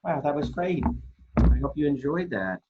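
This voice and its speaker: A man reading children's picture books aloud as a storytime host, expressively and deliberately, with dramatic pauses and a husky voice. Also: a little high, very animated, muffled recording, noisy background. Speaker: storytime reader for a library.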